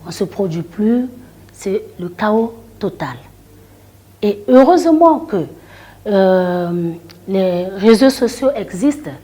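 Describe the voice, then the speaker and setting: A middle-aged woman from the Congo, a singer and storyteller, answering interview questions in a hesitant way, with many restarts and fillers, often trailing off quietly. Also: medium pitch, expressive, slow pace. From Republic of the Congo.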